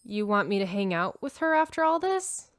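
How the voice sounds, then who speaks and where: A woman's voice: medium pitch, big pitch swings, moderate pace, smooth, dry recording, studio quality. A woman voicing a sulky, hesitant teenage farm girl who has been grounded, in a radio drama.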